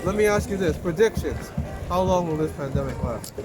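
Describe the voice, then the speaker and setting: Higher-pitched man interviewing outdoors over loud music. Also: lively intonation, brisk. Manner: informal, conversational. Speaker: street interviewer. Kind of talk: casual question-led interview. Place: outdoors in an urban public spot.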